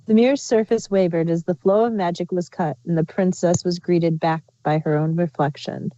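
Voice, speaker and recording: Mellow woman narrating a story. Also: on the low side, steady tone, moderate pace, dull audio.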